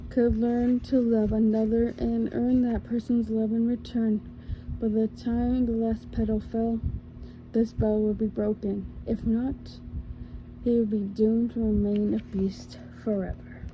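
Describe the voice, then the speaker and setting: A young woman hosting an ASMR channel, gently reading a fairy tale aloud in a calm, soft-spoken way. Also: on the high side, takes it slowly, slight background noise, low-quality recording. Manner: gentle, calm, with small slips and restarts.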